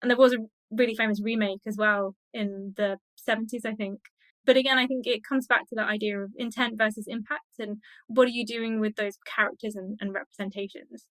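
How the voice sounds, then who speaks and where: A woman's voice: on the high side, steady tone, fairly quick, a bit bright, dry recording, low-quality recording. A woman speaking as an expert guest in a conversational interview, explaining her thoughts with enthusiasm.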